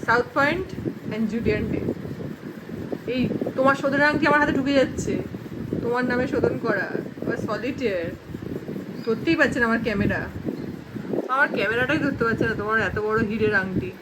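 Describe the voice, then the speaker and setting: High-pitched female, West Bengali accent, teasing exclamations in informal chat, very noisy location sound. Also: normal speed, pauses often. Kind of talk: casual family chat. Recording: loud background noise on location.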